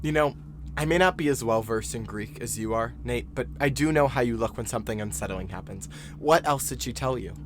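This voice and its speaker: A man's voice: mid-range voice, fairly quick, close to an everyday voice. A man acting in an audio drama as a bookish, insistent young male student with a pressing, controlling manner. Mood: probing. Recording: noticeable background noise and ambience.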